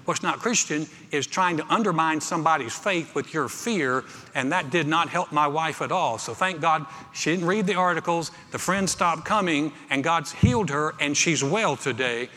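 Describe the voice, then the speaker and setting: A middle-aged male pastor preaches in a large, reverberant church auditorium. His voice is fairly high and forceful with a bright edge. He tells personal stories conversationally and drives points home by repeating phrases. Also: lively intonation, normal speed, few pauses. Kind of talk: sermon with personal anecdotes. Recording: reverberant hall, amplified preaching.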